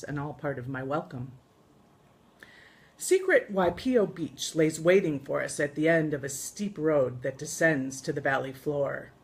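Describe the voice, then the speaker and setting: An American woman reading her own scripted travel memoir aloud in an expressive storytelling manner, with deliberate pauses. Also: sits low. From United States. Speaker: author reading from own book.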